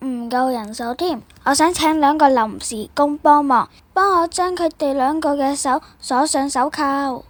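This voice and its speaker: A woman's voice: sits high, a little slow, few pauses, no echo. A woman playing an obedient, cheerful royal attendant in a stage play, with a presentational delivery. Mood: dutiful.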